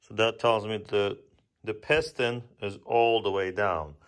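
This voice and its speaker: A man's voice: lowish voice, steady tone, measured pace, a bit bright. A man casually explaining his engine rebuild step by step as he works, chatty and lightly joking. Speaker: DIY car repair vlogger. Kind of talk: casual how-to explanation while working.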